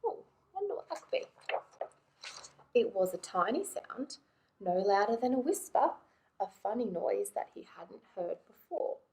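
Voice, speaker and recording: A woman reading a picture book aloud to young children in an expressive way, often turning to them with questions. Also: mid-range voice, measured pace, creaky, long pauses, poor audio. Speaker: children's librarian and storytime presenter.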